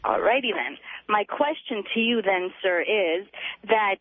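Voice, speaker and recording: A woman's voice: mid-range voice, expressive, measured pace, no room sound. A woman calling a radio show by phone, persistent and sardonic as she argues her point. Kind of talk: argumentative call-in conversation. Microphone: telephone. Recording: tinny phone line on radio.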